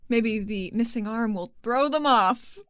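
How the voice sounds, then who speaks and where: A woman's voice: on the high side, a little slow, a bit bright, clean tone, no echo, studio quality. A woman playing a tabletop role-playing game, chatting in an informal, jokey way. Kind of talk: casual game chat. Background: music.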